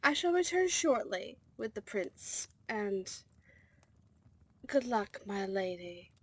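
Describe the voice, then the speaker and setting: A woman's voice: medium pitch, sing-song, slow pace, husky, no echo, heavily acted. A woman playing a formal, deferential and protective servant to a princess in a scripted fantasy ASMR roleplay. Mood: formal, loyal. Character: dutiful, protective female servant of a vampire princess.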